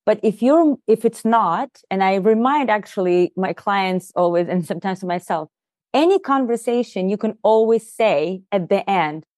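A woman's voice: mid-range voice, lively intonation, normal speed, darkish tone, no echo, studio quality. A woman whose first language is Russian, a podcast guest and leadership coach, explaining things earnestly in casual conversation with frequent "you know" and "like".

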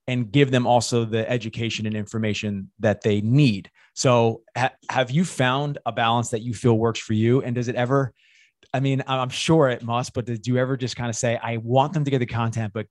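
A man's voice: mid-range voice, brisk, darkish tone, no room sound, clean studio sound. A man hosting a podcast, asking questions in a casual, rambling, conversational way. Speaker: podcast host. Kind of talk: casual interview chat.

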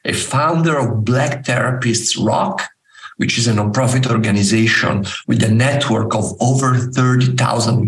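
Guy reading a guest intro slow and careful, kinda gravelly, roomy echo. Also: medium pitch, a bit bright. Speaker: podcast co-host.

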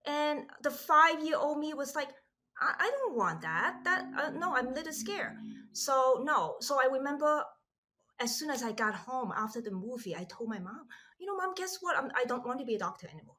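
A middle-aged woman from Hong Kong talks as a podcast guest, earnest and reflective. Her voice sits a little high. She tells her story in a lively conversational way, often restarting her sentences. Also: normal speed. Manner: earnest, reflective, with frequent restarts and animated asides.

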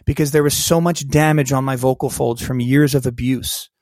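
A man telling a personal story as a podcast guest, lively and acting out the voices of people in it. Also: mid-range voice, normal speed, dry recording, studio quality. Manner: animated storyteller who acts out quoted dialogue. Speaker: guest, keynote speaker and coach.

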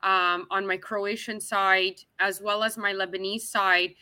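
A woman's voice: mid-range voice, little pitch movement, a little slow, bright, forceful tone, clear, resonant tone, dry recording. A woman from Canada, talking as an interview guest in a chatty, upbeat way. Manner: chatty and upbeat, with frequent restarts and laughs. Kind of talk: casual interview chat.

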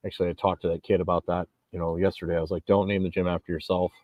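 Man, late forties, low voice, emphatic, rambling, restarts, muffled dry recording. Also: level tone, rapid-fire, no echo. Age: 40s. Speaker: vlogger and gym owner. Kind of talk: casual monologue to camera. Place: outdoors on a beach.

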